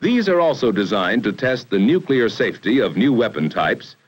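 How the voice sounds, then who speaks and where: An American man narrating a 1960 educational film in a formal, measured, authoritative way, on a vintage film soundtrack. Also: mid-range voice, big pitch swings. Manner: formal, measured, authoritative delivery with emphatic phrasing.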